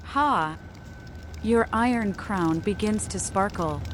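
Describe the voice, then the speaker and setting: An unhinged young vampire oracle acted by a woman in a video game. Her mid-range voice is eerie and theatrical, turning every line into a cryptic riddle. Music is clearly audible behind her. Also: medium pitch, lively intonation, slow pace. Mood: manic, ominous. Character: mad young female vampire seer speaking in cryptic riddles. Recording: loud background music.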